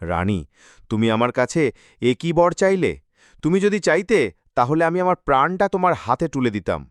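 A man's voice: on the high side, sing-song, normal speed, dry recording, clean studio sound. A man voicing a grief-stricken middle-aged king in a mythological cartoon, speaking with dramatic anguish. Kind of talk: Bengali mythological cartoon voice acting.

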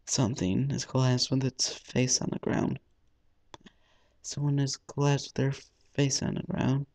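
A man's voice: mid-range voice, little pitch movement, a little slow, darkish tone. A man hosting a let's play, reading visual novel narration aloud dramatically and stumbling over the text now and then. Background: faint game music.

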